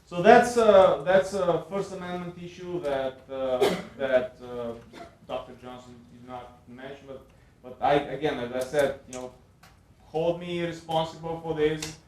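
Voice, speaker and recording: A man with a Bulgarian accent speaks as a panelist at an academic event. His voice sits a little high for a man, and the room echoes around him. He talks off the cuff, haltingly, often repeating words as he goes. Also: steady tone, a little mellow, a little hiss or hum. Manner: halting, with frequent repeats and filled pauses. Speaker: panel speaker at a university lecture. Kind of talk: extemporaneous academic lecture. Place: indoor lecture event room.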